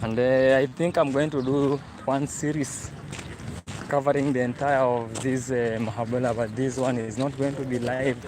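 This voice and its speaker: Kenyan male travel vlogger, young, mid-pitched, chatty and repetitive, filmed on a phone with clear street noise behind. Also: flat delivery, moderate pace. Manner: chatty, repeats himself, talks to viewers. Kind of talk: walking livestream vlog. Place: outdoors on a city street.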